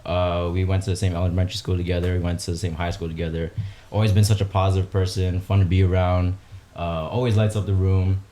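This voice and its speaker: Male co-host, low dark voice, supportive chat, faint hum behind. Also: little pitch movement, fast. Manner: warm-hearted, affirming, frequent backchannels. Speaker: podcast co-host. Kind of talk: casual chat.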